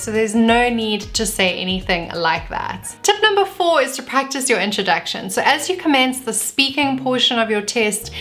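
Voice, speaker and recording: A woman with a South African accent, speaking in a clear, measured way as she gives exam tips in a vlog. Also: medium pitch, fairly bright tone. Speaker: vlogger giving test tips. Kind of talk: scripted tips video.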